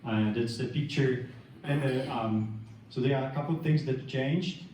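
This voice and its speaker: A man's voice: mid-range voice, moderate pace, a little mellow, recorded from a distance, a little hiss or hum. Polish man talking in an echoey room. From Poland. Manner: hesitant, many fillers and restarts. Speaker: tech speaker. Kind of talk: meetup workshop talk. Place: large meeting room. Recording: echoey room, speaker off-mic.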